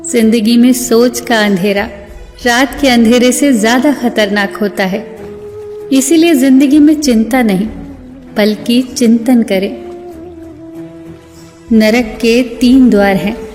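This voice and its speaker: Slow, high-voiced woman narrator in heavy reverb. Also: darkish tone. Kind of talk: scripted motivational quote narration. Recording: long hall reverb, music bed. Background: music.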